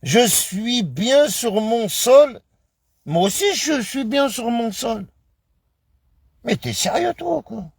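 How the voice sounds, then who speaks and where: A man from France speaks on a live stream with a fairly high voice and a slow, deliberate pace. He talks emphatically and repeats himself often. The sound is dry and close, with no room around it. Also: big pitch swings, creaky, breathy edge, no room sound. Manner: emphatic, repetitive, addresses viewers as brother. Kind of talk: casual live-stream rant.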